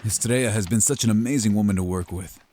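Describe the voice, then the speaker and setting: A man's voice: lowish voice, quick pace, warm tone, close to an everyday voice. A man playing an expert, easygoing hunter who talks in a casual, matter-of-fact way in a fantasy audio roleplay. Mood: casual, defensive. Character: expert male hunter, easygoing, loyal, practical team partner. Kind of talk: scripted fantasy audio roleplay addressed to the listener.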